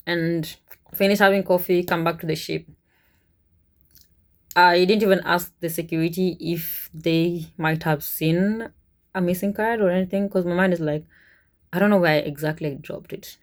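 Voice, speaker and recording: A woman from Kenya with a Kenyan accent, telling a personal story to camera in a casual, animated way. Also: fairly low pitch. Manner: animated, chuckles often, conversational. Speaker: vlogger, cruise ship worker.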